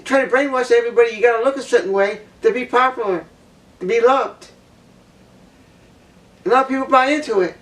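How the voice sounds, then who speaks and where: A woman with a low voice giving a blunt opinion monologue, repeating her points for emphasis. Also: fairly quick, long pauses, far from the mic, poor audio. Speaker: vlogger.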